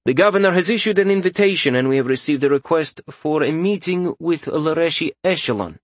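A man voicing a dutiful soldier in a read-aloud story. He reports in a brisk, formal voice, somewhat higher than the narrator's, and the sound is dry and close. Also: animated, dry recording.

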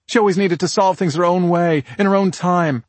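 A man's voice: high voice, fairly even intonation, fairly quick, no echo, voice pushed up. A man voicing an emotional, defensive woman who grieves for her sister in an audiobook crime story. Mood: troubled.

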